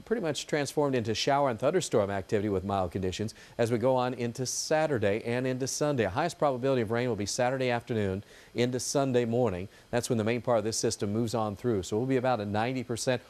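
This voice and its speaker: Fast-talking chatty TV weatherman. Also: medium pitch, darkish tone. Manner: chatty, folksy, informal. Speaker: TV weather forecaster. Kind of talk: ad-libbed weather forecast.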